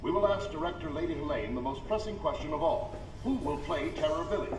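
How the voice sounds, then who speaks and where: A grandiose newsreader played by a man delivers formal propaganda briskly, in a slightly high, polished voice. It sounds echoey and distant, over noise. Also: lightly acted. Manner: polished, dramatic announcer delivery. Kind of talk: formal news broadcast.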